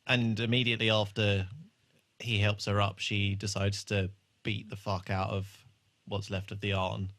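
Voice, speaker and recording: A man's voice: lowish voice, little pitch movement, normal speed, fairly bright tone. A man chatting casually as a podcast co-host, recapping a TV episode in an informal, sweary way.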